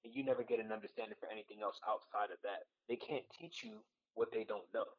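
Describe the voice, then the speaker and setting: A man's voice: lowish voice, lively intonation, fast talker, husky, lo-fi sound. A man who grew up in the United States, a podcast guest serving in the Marines, talking through his thoughts in an earnest, reflective way and often checking in with "right?" and "you know.". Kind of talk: casual podcast conversation.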